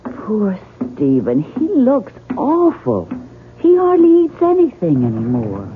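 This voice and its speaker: An actress playing an earnest, anxious young American wife in a radio drama, direct and probing in manner. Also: female voice, mid-range voice, huge pitch swings, slow pace. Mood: concerned. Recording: muffled, noisy 1970s radio broadcast.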